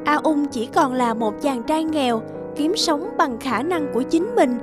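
A woman narrates a fairy tale from a script. Her voice is high, and music plays clearly behind her. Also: lively intonation, a little slow.

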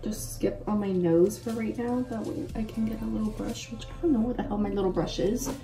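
A woman's voice: medium pitch, brisk, mellow. A woman in her mid twenties chatting casually and slangily, with frequent exclamations, as she does a makeup tutorial at home. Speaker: makeup vlogger. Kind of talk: casual chat while doing a makeup tutorial. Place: bedroom-like room at home. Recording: roomy echo, distant mic, faint music.

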